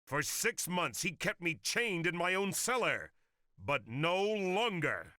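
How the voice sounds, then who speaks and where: An actor playing an indignant, vengeful town lord and general in a video game. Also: male voice, high-pitched, big pitch swings, slow, pushed and bright, no room sound, studio quality, heavily acted. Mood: outraged, forceful. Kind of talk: video game cutscene acting.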